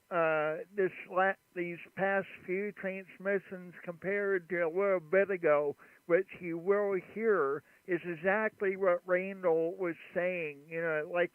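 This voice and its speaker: A man running a ham radio chat and livestream, talking in a relaxed, rambling way with many fillers. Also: high-pitched, clean tone, no echo. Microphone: studio mic at his station. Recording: radio audio, narrow band.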